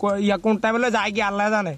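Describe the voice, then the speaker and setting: A man's voice: high-pitched, flat delivery, racing, a bit bright, no echo. A man with a Noakhali Bangladeshi accent making a casual prank phone call, hesitant and repeating himself. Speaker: prank caller. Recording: phone call with faint music.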